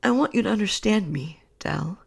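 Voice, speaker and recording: A woman voicing an earnest young husband in a hushed whisper, speaking slowly. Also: mid-range voice, lively intonation, gravelly, husky, exaggerated voice. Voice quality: soft whisper.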